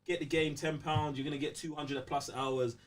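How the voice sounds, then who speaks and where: Slangy London bloke, a bit off mic. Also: male voice, a little high, fairly even intonation, moderate pace, far from the mic. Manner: slangy, blunt, exclamatory. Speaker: podcast co-host. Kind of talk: casual gaming podcast banter.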